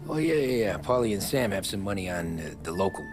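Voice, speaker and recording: An actor playing a wry working-class American mobster in a video game drama, slangy and plain-spoken. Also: male voice, lowish voice, sing-song, fairly quick, over music. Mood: eager, businesslike.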